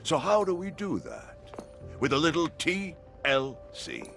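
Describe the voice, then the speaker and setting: A man's voice: a little high, wildly swooping pitch, unhurried, vocal fry, a bit bright, faint music behind, exaggerated voice. An actor playing a slick, upbeat corporate orientation-video presenter in a video game, selling like a salesman. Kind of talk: scripted promotional narration in a game.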